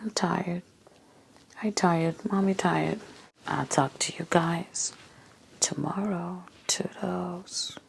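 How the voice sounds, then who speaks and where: A tired woman vlogger talks casually to the camera in front of her mirror at home. Her voice sits low and is a little worn. She keeps sliding into soft, half-whispered asides. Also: fairly even intonation, takes it slowly, gravelly, long pauses, slight background noise. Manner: chatty, drops into soft near-whispers.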